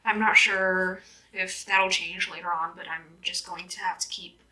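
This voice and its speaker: A woman's voice: fairly low pitch, fairly even intonation, moderate pace, bright, forceful tone, rough recording. A woman in her twenties from Vietnam, chatting casually while getting ready at home, laughing often and filling her speech with "like" and "um". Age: 20s. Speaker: YouTuber. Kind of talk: casual get-ready-with-me chat. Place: indoors at home in a city, doing makeup.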